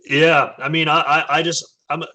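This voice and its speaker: A man, a metal band's vocalist, chatting casually as an interview guest, often halting and restarting his sentences. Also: level tone, pushed and bright. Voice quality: naturally low voice. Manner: hesitant, many restarts and fillers.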